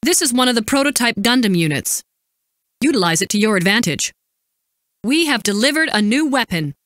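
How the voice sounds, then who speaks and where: An actress playing a composed, dutiful woman military supply officer in a video game, giving crisp, formal, commanding briefings and callouts. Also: fairly high pitch, fairly bright tone, no room sound, studio quality. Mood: grave, restrained.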